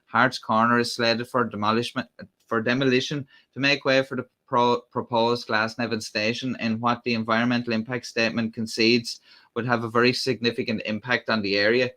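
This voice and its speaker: A man's voice: mid-range voice, bright, forceful tone. An Irish man hosting a casual livestream, rambling with lots of fillers and restarts. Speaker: livestream host. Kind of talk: casual live-stream chat.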